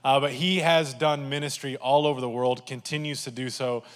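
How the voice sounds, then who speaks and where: A man's voice: mid-range voice, fairly even intonation, moderate pace. A man with a Texan accent, a campus pastor, giving a casual, joking welcome from the stage. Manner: joking, chatty, upbeat. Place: church sanctuary. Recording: church hall reverb.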